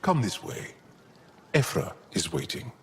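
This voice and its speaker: A solemn alien resistance officer, with a low, earnest voice that rises and falls dramatically. It is measured game dialogue over faint ambient noise. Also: male voice, sing-song, fairly bright tone, full character voice. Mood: grave.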